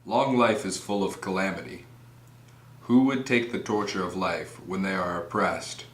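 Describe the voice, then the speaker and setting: A man's voice: sits low, steady tone, moderate pace, natural voice. A man voicing a troubled prince's grave, reflective thoughts in a scripted plain-English reading. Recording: echoey room with background noise.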